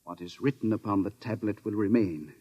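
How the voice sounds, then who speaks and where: Casting: guarded old professor, low rough voice, dry sound, formal vintage radio acting. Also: male voice, fairly quick, dry recording, lo-fi sound. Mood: pedantic, proud. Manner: formal, pedantic. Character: secretive, proud professor, guarded inventor, older man. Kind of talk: 1950s radio drama acting.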